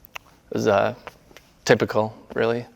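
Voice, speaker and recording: Man in a long echoing reverb, halting delivery. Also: little pitch movement, gravelly. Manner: halting, low-key, naturalistic delivery. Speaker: amateur actor reading a film monologue. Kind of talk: casual intro, then understated monologue reading.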